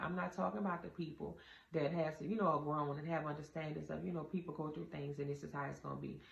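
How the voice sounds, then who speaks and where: A woman with a deep voice gives casual, straight-talking relationship advice to her viewers, calling them y'all with earnest conviction. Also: vocal fry. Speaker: vlogger giving relationship advice. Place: indoor room with a door. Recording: roomy, slightly dull, faint ambient hum.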